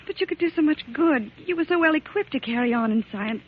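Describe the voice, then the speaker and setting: A woman's voice: high voice, lively intonation, fast talker, narrow, tinny audio. An actress playing an earnest, devoted young woman in a 1940s radio drama, sincere and insistent. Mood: earnest, resolved. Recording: thin, noisy 1940s radio recording.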